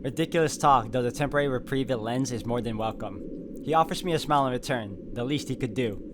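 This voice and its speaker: A man's voice: mid-range voice, fast, noisy background. A man hosting a gameplay video, reading visual novel narration aloud as a story.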